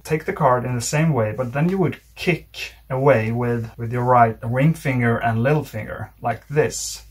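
A man with a slightly husky voice, giving a measured, step-by-step tutorial as an instructor demonstrating while he talks. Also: medium pitch. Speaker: card magic instructor.

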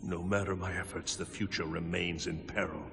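An ancient, troubled protector god in a game. The voice is fairly low and solemn. It rings with long reverb, with music softly behind. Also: male voice, level tone, normal speed, creaky. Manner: grave, portentous. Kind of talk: video game cutscene acting.

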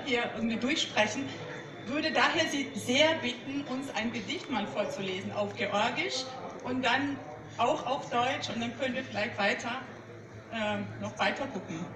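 A woman moderating a book fair reading, introducing the guest and asking questions with frequent fillers. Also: a little high. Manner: warm praise, searching for words with fillers. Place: large hall with screen, seated on stage. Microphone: far phone camera in audience. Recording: echoey hall, far off, loud noise.

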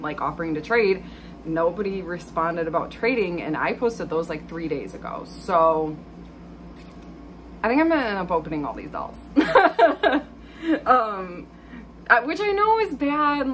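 A woman's voice: lowish voice, background noise. A young adult woman vlogger chatting casually about her doll finds, with frequent ums and likes. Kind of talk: casual haul vlog chat.

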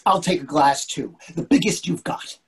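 A man's voice: a little high, moderate pace, breathy edge. An older man playing an impatient, grumbling husband, blunt and exasperated, in a comedy play read over a video call. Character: impatient, grumbling husband craving beer and food. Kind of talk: readers theatre comedy acting. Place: indoor room on a video call. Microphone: video call microphone.

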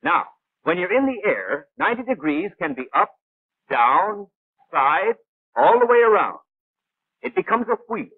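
A high-voiced, exacting male instructor in an old wartime training cartoon. He lectures in precise, clipped phrases with a very lively rise and fall. The sound is a thin, band-limited film soundtrack. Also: measured pace, pauses often, slightly rough, no room sound, phone-quality sound, exaggerated voice. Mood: instructive. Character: brisk, know-it-all male gunnery instructor, patient but exacting. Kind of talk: 1940s animated training film narration.

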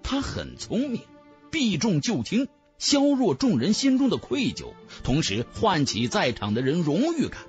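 A man narrating a fantasy novel in a dramatic, expressive storytelling manner. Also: sits high, a little slow, dull audio. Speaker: audiobook narrator. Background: background music.

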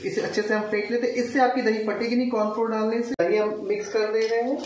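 A man's voice: sits high, little pitch movement, quick pace. Echoey, distant man giving cooking instructions. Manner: instructional, step by step, mixes English words into speech. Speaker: home cook, recipe host. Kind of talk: informal cooking tutorial narration. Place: home kitchen, indoors. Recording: echoey, distant mic, faint kitchen noise.

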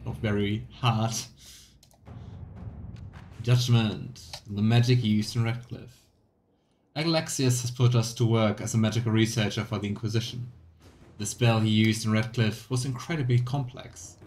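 Male let's play streamer, thirties, lowish pitch, reflective self-talk, home recording with background game noise. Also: fairly even intonation, a little slow, a little mellow. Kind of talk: let's play commentary, reading game text aloud. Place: small room indoors at home.

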